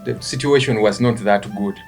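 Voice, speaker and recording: A middle-aged man with a Ugandan accent talks through his answers with frequent restarts. His voice sits low and carries a gravelly edge. Faint music plays underneath. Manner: halting, restarts words, quiet then rising when animated. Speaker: guest, event company founder. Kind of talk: interview answers.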